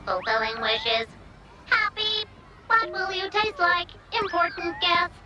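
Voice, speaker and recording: A woman's voice: very high voice, takes it slowly, lo-fi sound, background noise. A woman voicing a small, childlike robot in a video game, innocent and literal, speaking in clipped announcements. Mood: happy, curious. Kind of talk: video game character acting.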